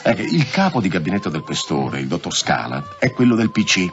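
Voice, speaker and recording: An actor in an Italian radio drama playing a pragmatic, cautious police subordinate who confides gossip in a colloquial way. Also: male voice, deep voice, big pitch swings, fairly quick, lo-fi sound, faint music behind. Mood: confiding.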